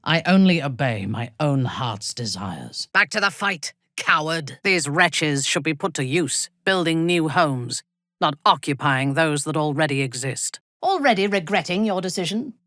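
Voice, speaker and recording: An actress playing a cold, ruthless dark elf warrior-priestess in a video game, haughty and deliberate, with a breathy, husky voice. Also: female voice, sits low, expressive, no room sound, studio quality. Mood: imperious. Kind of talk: video game character dialogue.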